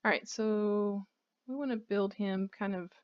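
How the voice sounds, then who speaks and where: A woman's voice: medium pitch, steady tone, a little slow, muffled recording. A woman giving casual let's play commentary on a video game, rambling and thinking aloud as she plays.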